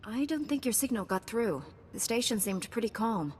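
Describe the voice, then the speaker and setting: An actress playing a composed, blunt female Jedi knight in a video game, calm and matter-of-fact. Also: moderate pace, lightly acted. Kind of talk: scripted video game cutscene acting. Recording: long reverberant hall, faint background rumble.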